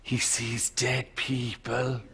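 A man's voice: medium pitch, monotone, extremely slow, very noisy. A man playing a gullible, gushing grown-up son in a sitcom, dramatic in manner, with an Irish accent. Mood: delighted. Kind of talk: sitcom comic acting.

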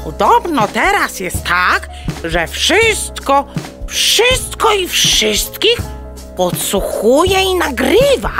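A woman's voice: high-pitched, wildly swooping pitch, very slow, fairly bright tone, faint music behind, full character voice. A woman playing a curious, plucky, naive hen in a children's audio play, exclaiming and stammering into clucks. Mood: secretive.

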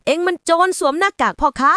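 A woman voicing an indignant farmer uncle who shouts accusations in a storybook tale. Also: high voice, fast talker, no echo, clean studio sound, voice pushed up. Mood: indignant, angry.

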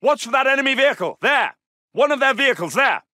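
WWII game soldier, very high strained pitch, very bright forceful tone, clipped urgent callouts, dry sound. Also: male voice, very animated, fast talker, dry recording, full character voice. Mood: urgent, alert. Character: alert, gruff British male Second World War soldier. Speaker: video game voice actor. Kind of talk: shouted combat callouts.

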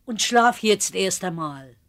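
Devoted, fussing housekeeper for radio play: Austrian-Bavarian accent, animated medium-pitched voice. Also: female voice, takes it slowly. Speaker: actress in an audio drama. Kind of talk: German radio play acting.

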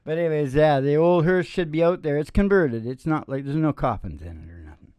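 A middle-aged man hosting with rambling, improvised storytelling in a folksy, halting way, speaking into a close stand microphone. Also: on the high side, expressive, a little mellow, clear, resonant tone, no echo. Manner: folksy, halting, with trailing sentences. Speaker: podcast host and singing DJ. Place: small indoor stage with curtain backdrop.

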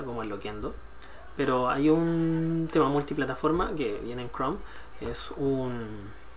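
A man's voice: mid-range voice, a little hiss or hum. A young man chatting casually and a bit hesitantly as he shares a tip in a screen-recorded tutorial at his computer. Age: young adult. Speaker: student sharing a study tip. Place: small indoor room at a computer.

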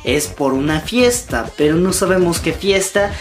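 A man's voice: on the high side, lively intonation, measured pace. A man in his twenties hosting a fan news vlog, speaking emphatically and directly to his viewers from a room at home. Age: 20s. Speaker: YouTube host. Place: small room at home, indoors. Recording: music behind the voice. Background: background music.

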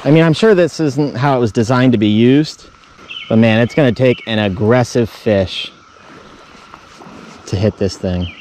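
A man's voice: mid-range voice, a little slow, pauses often, a little hiss or hum. Laid-back man narrating his fishing outing. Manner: laid-back, chatty, bursts of excitement at catches. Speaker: fishing vlogger. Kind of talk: casual play-by-play while fishing. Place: outdoors wading a river tributary. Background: faint crickets and insects outdoors.

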